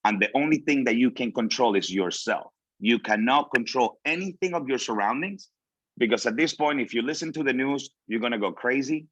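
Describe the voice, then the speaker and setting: A man's voice: mid-range voice, animated, fairly quick, a bit bright, dry recording. A man in his late thirties from Venezuela, a native Spanish speaker, giving an informal motivational talk in English with emphatic repetition. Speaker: guest speaker. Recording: dull, lo-fi audio.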